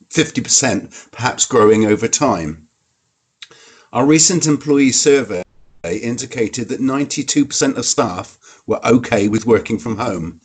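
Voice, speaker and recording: A man speaks as a council leader in a husky voice of medium pitch. He delivers a formal, prepared report to a meeting.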